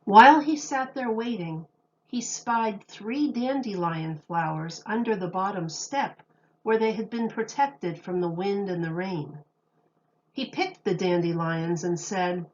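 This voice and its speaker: Female storybook narrator, teacher style: very slow, emphatic, expressive delivery, mid pitch, slightly dull recording. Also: slight background noise. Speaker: teacher reading aloud.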